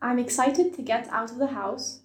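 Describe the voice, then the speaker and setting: Female vocabulary reader, slightly high pitch, short lesson glosses, noticeably roomy recording. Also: steady tone, normal speed. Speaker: vocabulary translator. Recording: echoey room.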